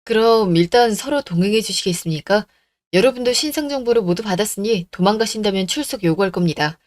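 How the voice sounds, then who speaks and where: A woman's voice: mid-range voice, expressive, brisk, dry recording, natural voice. Radio drama: woman plays businesslike cop, formal, firm, dry recording. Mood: official, firm. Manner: formal, matter-of-fact. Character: firm, businesslike police officer. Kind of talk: radio drama story acting.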